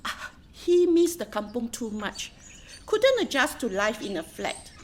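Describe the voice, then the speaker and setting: Actress as high-pitched, excitable Singaporean hawker woman. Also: a little slow. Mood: gossipy. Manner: giggly, excitable, chatty. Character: cheerful, curious single female soon kueh street hawker from the kampong. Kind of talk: radio play acting.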